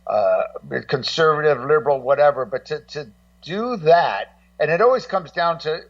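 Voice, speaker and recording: A man co-hosting as a guest in casual, swearing chat, rambling excitably and repeating his words. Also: on the high side, normal speed. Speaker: guest co-host. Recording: dull lo-fi, distant-sounding connection.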